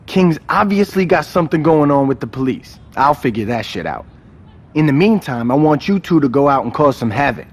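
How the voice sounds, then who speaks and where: An actor playing a cautious, level-headed gang strategist in a video game cutscene, exasperated and no-nonsense. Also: male voice, fairly high pitch, big pitch swings, fairly quick. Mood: firm, persuasive.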